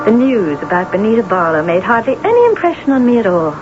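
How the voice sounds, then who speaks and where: An actress playing a self-absorbed, temperamental, envious novelist in a theatrical, gushing old-time radio drama style. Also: female voice, mid-range voice, big pitch swings, fast talker, over loud music, lightly acted. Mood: excited, dramatic. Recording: dull, noisy old radio broadcast.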